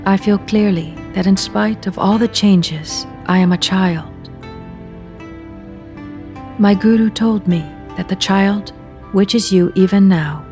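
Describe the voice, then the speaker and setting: A woman leading a guided meditation, speaking in measured, deliberate phrases with soft endings and pauses. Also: mid-range voice. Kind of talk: guided meditation, slow spoken readings with long pauses. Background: meditation music at beginning and end.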